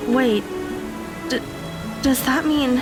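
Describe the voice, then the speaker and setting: A woman's voice: high-pitched, slow pace, loud music behind the voice. A woman playing an earnest, tentative teenage girl heroine in an audio drama. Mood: puzzled.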